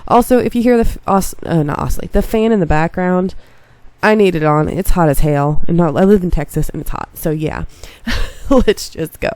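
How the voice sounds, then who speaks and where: A congested woman reading a visual novel aloud at home, casual and quick to laugh at her slips. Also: lowish voice, darkish tone, no echo. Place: home room with a fan.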